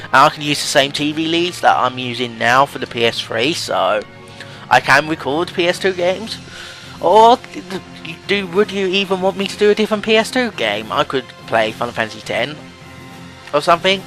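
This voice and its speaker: Higher-pitched young man, rambling casually. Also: animated, moderate pace, a bit bright, poor audio. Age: young adult. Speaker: let's play host. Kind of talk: casual game commentary. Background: game music.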